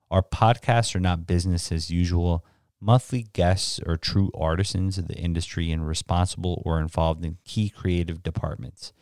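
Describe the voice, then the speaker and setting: A man with a notably deep, low voice hosts a podcast, reading his opening in a steady announcer manner before easing into casual conversation. The sound is close and dry, with no room echo. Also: smooth. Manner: reads intro in a measured, announcer-like way. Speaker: podcast host. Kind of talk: scripted intro then casual interview.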